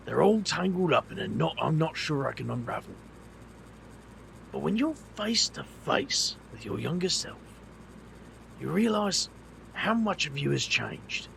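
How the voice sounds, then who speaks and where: British guy acting a wry weary magician, super croaky, sharp forceful tone, audio drama. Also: a little high, a little hiss or hum, full character voice, rough recording. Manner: dry, colloquial, self-deprecating. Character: wry, world-weary male magician, scrappy and defensive.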